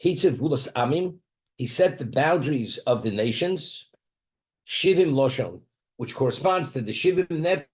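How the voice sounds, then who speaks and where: A man's voice: fairly high pitch, lively intonation, normal speed. A man giving a Torah class who asks rhetorical questions and answers them, weaving Hebrew and Aramaic terms into his English and often restarting his words as he thinks aloud. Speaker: Torah teacher.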